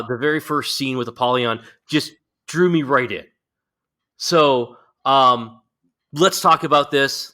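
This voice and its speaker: A man's voice: mid-range voice, pushed and bright. A man hosting a podcast, chatting casually and informally with a guest in a blunt, self-deprecating way. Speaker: podcast host interviewing a guest.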